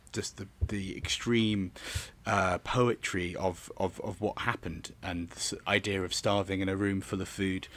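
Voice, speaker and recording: A man's voice: sits low, a bit bright, slight background noise. A man giving a rambling, hedging interview answer as a theatre director. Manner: rambling, hedging with many 'sort of's.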